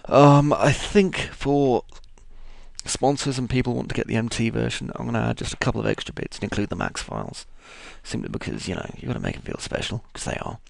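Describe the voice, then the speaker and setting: A man with a croaky voice, casually talking through each step of a 3D modeling tutorial as he works. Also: medium pitch, sing-song, brisk, no echo. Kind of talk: screen-recorded software tutorial.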